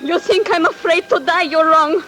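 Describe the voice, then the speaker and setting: A woman's voice: very high voice, moderate pace, a bit bright. A fierce, wary young Spanish-accented woman. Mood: defiant. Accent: Spanish-speaking. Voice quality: broken English, blunt and wary. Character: fierce distrustful young Mexican woman Consuelo. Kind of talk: 1940s radio acting. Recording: old radio broadcast with faint hiss.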